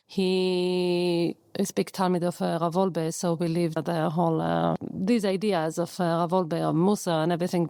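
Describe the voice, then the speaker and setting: A woman's voice: fairly low pitch, a little mellow, clear, resonant tone, no echo, clean studio sound. A woman, answering interview questions in simple, plain, hesitant sentences. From Israel. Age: 40s. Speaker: guest.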